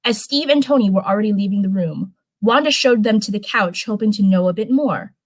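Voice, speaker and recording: A woman's voice: medium pitch, animated, fairly quick, rough recording. A woman hosting a live stream in an informal, chatty way, talking to viewers and reading fan fiction aloud. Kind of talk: casual livestream chat and live story reading.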